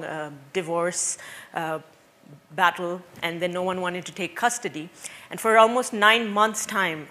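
A woman from Bangladesh tells her own story from a stage, speaking into a headset mic. Her voice sits a little low, and she talks in an earnest, reflective way, pausing often with small fillers as she searches for the words. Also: fairly bright tone. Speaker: speaker giving a talk. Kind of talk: personal storytelling talk on stage. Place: stage before a seated audience in a dark hall.

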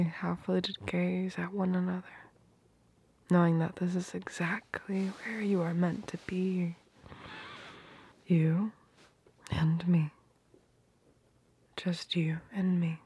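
A woman's voice: lowish voice, warm tone, exaggerated voice. A woman playing a tender, protective girlfriend in a romantic ASMR roleplay, whispering intimately and affectionately, very close to the microphone. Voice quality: breathy, whispery. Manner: slow, intimate, affectionate murmuring. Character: tender, protective, doting girlfriend murmuring at bedtime.

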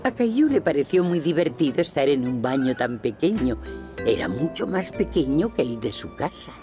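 A kindly cartoon storyteller with a notably deep female voice. She narrates in Castilian Spanish with a lively, gentle lilt over clearly audible music. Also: normal speed. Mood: gentle, amused. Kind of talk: scripted children's story narration.

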